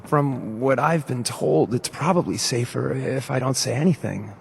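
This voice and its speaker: A man playing a timid, earnest escaped synthetic human in a video game, hesitant and soft-spoken, with a breathy, creaky voice. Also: mid-range voice, moderate pace, big-room sound, a little hiss or hum, natural voice. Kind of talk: video game character dialogue.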